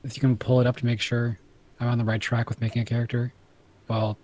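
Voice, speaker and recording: A man's voice: mid-range voice, flat delivery, fast talker, a little mellow, slight background noise. A man playing in a tabletop game, talking in an apologetic, slightly distracted way over an online call. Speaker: player. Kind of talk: casual chat in a tabletop game.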